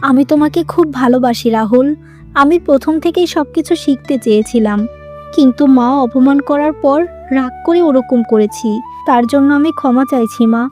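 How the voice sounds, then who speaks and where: A woman's voice: sits high, moderate pace, warm tone, faint music behind, natural voice. A woman voicing an emotional, plaintive young modern wife in a moral-story cartoon. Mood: pleading.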